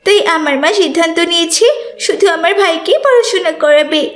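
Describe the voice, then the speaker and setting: A poor, bright schoolgirl from a moral cartoon. Her voice is extremely high and plaintive. She speaks earnestly, with stubborn determination. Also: normal speed. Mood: sorrowful. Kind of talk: cartoon voice acting.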